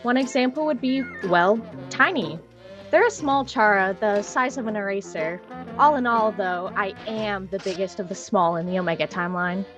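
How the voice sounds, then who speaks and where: A woman's voice: medium pitch, moderate pace, fairly bright tone, faint music behind, lightly acted. A woman playing a small, cheerful, earnest ten-year-old child in a fan-made comic dub.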